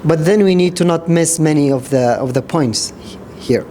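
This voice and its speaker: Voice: medium pitch, normal speed, warm tone, a little hiss or hum. A round-table participant giving formal, courteous comments to the panelists. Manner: polite, formal, addresses panelists directly. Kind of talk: panel discussion remarks.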